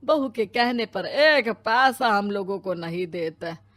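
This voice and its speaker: A woman voicing a grumbling, hard-up neighbour man in a cartoon story, complaining in a drawn-out way. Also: mid-range voice, lively intonation, fairly bright tone, no echo. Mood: bitter, resigned.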